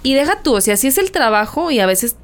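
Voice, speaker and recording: A woman's voice: high-pitched, very animated, fast talker. A woman, a guest on a podcast, chatting casually and agreeing readily, with plenty of slang. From Mexico.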